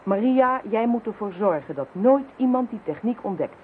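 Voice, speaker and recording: A woman's voice: a little high, animated, moderate pace, phone-quality sound, natural voice. An actress playing a spirited, principled woman police inspector in a radio play, firm and emphatic. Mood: grave, insistent. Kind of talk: radio drama acting. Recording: thin radio recording with faint noise.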